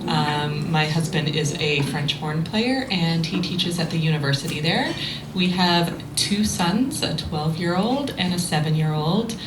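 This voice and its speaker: A woman's voice: sits low, a little slow. A woman from Santa Barbara introducing herself on a panel in a plain, matter-of-fact way. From Santa Barbara, California. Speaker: panelist, opera singer. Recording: echoey hall with room noise.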